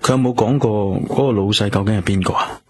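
An actor playing a male police detective in a radio drama, speaking Hong Kong Cantonese, calm and patient as he questions a witness. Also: on the low side, fast, slight background noise, lightly acted.

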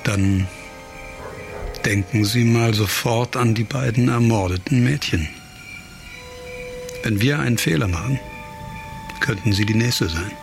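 An actor playing a brooding, driven amateur investigator in a radio crime drama, terse and intense with hesitant pauses. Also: male voice, lowish voice, vocal fry. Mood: grave, warning. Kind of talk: radio crime drama acting with inner monologue. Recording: music behind the voice.

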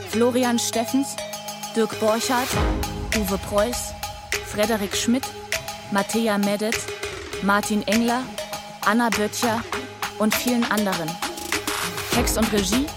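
A woman with a fairly high voice announces radio drama credits. Her delivery is slow, measured and list-like, and prominent music plays behind her. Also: fairly even intonation.

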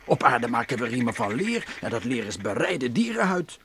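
An actor playing a sceptical, testy earthling adventurer in a children's radio play. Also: male voice, medium pitch, sing-song, normal speed, fairly bright tone, slight background noise. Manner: doubtful, testy.

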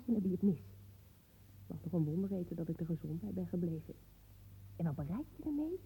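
A woman's voice: medium pitch, warm tone, a little hiss or hum. A woman playing a bossy, scornful mother in a radio play, nagging sarcastically in colloquial speech. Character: bossy, nagging, scornful mother waiting at her daughter's school. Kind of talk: naturalistic radio play dialogue. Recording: dull, muffled old radio play recording.

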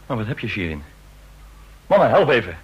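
An actor playing an excitable, concerned comrade-in-arms in a radio drama. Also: male voice, fairly low pitch, brisk, lo-fi sound, slight background noise. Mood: alarmed, concerned.

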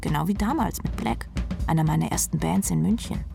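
Radio drama lead: wry, reckless drummer, creaky voice, clipped asides, over prominent music. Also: female voice, sits low, a little fast. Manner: sardonic, clipped asides, often dropping to whisper. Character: wry, reckless female rock drummer telling her story. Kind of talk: first-person radio drama narration.

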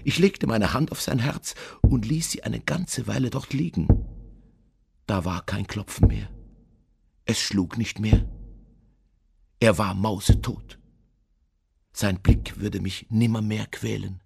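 An actor playing an obsessive, mad murderer who insists he is sane, confessing in a dramatic radio monologue with hushed intensity and deliberate emphasis. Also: fairly low pitch, vocal fry, husky, full character voice. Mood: grimly satisfied.

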